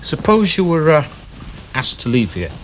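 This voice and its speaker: An actor from northern England playing an earnest young English officer, speaking in a low-key, gentle way. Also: male voice, huge pitch swings, moderate pace. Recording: hissy background noise.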